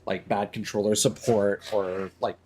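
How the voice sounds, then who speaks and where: Low-voiced rambling male streamer. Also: little pitch movement, a little slow, darkish tone, a little hiss or hum. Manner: rambling, many fillers, reads item text aloud. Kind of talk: casual gameplay commentary.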